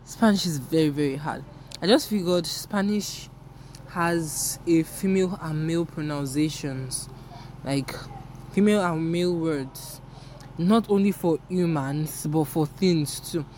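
A woman's voice: sits low, a little slow, darkish tone, slight background noise. A young woman from Nigeria, whose first language is Yoruba, speaks with a Nigerian English accent. She hosts her own podcast in a rambling, candid, self-deprecating chat. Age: young adult. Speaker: podcast host. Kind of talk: casual solo podcast chat.

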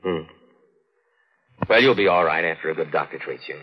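A man's voice: very deep voice, moderate pace, husky. An actor playing a kindly, assured, avuncular policeman in 1940s radio drama style. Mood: paternal, dismissive. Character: kindly paternal policeman, disbelieving and reassuring. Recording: dull old radio recording.

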